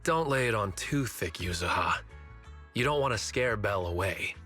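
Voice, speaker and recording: A man's voice: fairly low pitch, lively intonation, normal speed, pushed and bright, faint music behind. A man voicing a composed young video game character who speaks in a restrained, matter-of-fact way. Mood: calm, dry.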